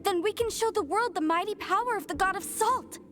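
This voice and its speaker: A woman's voice: very high voice, brisk. An actress playing a zealous young woman devoted to a fallen goddess, speaking in an earnest, impassioned way. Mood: excited. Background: game music.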